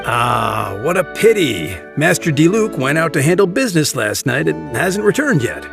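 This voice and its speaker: A man's voice: a little high, very animated, moderate pace, vocal fry. An actor voicing a polite, dutiful older male butler in a game cutscene, formal and courteous and coughing now and then. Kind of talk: video game cutscene dialogue. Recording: game audio with background music.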